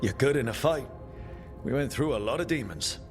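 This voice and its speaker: A man's voice: mid-range voice, lively intonation, normal speed, music in the background, natural voice. An actor playing a casual, plucky young male hero in a video game, informal and direct. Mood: earnest, friendly.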